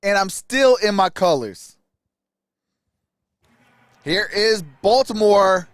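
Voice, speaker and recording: Male sports stream host, smooth high voice, colloquial fan talk, close dry sound. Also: animated, takes it slowly, no room sound. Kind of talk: casual live sports commentary.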